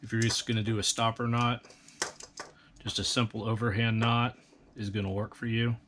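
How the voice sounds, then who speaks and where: A man calmly explaining a hands-on build step by step, in a practical, methodical way. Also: fairly low pitch, moderate pace, noisy background. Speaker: instructor. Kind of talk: step-by-step how-to instruction.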